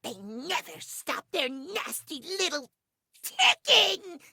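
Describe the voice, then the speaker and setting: A man's voice: extremely high pitch, wildly swooping pitch, takes it slowly, pushed and bright, exaggerated voice. A man voicing a manic, vengeful, self-adoring cutesy cat in a video game, speaking theatrically of himself in the third person. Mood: spiteful, menacing. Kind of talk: video game character acting.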